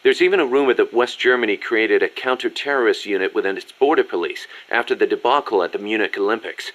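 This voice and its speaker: A man's voice: fairly low pitch, fast, fairly bright tone, dull audio, close to an everyday voice. Confident military strategist, voiced by a man. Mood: earnest, admiring. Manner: articulate, explanatory. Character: confident, businesslike male military second-in-command and strategist. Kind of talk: scripted video game briefing dialogue.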